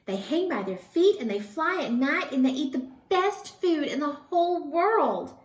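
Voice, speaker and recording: Woman playing an excitable young girl bat, high-pitched. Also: unhurried, roomy sound. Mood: excited. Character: young female bat, earnest, excitable, easily confused. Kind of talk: animated storybook read-aloud.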